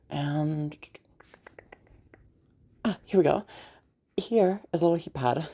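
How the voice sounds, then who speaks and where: A young woman acting in an audio roleplay as a caring, teasing party host, talking in a rambling, hesitant, softly reassuring way. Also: low voice, creaky, breathy edge, exaggerated voice. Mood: attentive, soothing. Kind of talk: audio roleplay, naturalistic one-sided dialogue.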